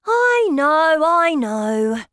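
Woman voicing an excitable high-pitched little storybook boy. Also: takes it slowly, no room sound, clean studio sound, heavily acted. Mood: upset, insistent. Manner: breathless exclamations, eager questioning. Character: excitable young boy turned mouse, eager and indignant. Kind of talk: children's storybook character voice.

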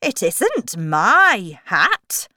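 A woman's voice: medium pitch, huge pitch swings, drawn-out words, pushed and bright, no echo, studio quality, pitched above the performer's own voice, heavily acted. A woman voicing a flustered, apologetic poor hatter, hesitant and pleading, in a storybook.

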